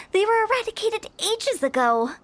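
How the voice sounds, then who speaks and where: A woman's voice: extremely high pitch, a little hiss or hum, heavily acted. A woman voicing a confident, mischievous young female funeral director in an anime-style video game, theatrical and self-assured. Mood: amused, candid.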